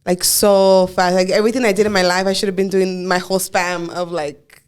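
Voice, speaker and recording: A woman in her twenties co-hosting a podcast, telling personal stories in earnest, drawn-out casual chat full of "like". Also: on the low side, little pitch movement, clean tone. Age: 20s. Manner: earnest, drawn-out storytelling with lots of "like". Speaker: podcast co-host.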